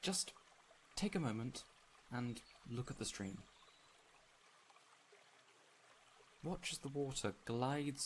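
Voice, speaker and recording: A man playing a peculiar, eager fey man in an audio roleplay, courteous and theatrical, with flowery, excitable phrasing. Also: medium pitch, slight background noise, natural voice. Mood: wistful, gentle. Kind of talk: immersive audio roleplay addressed to the listener.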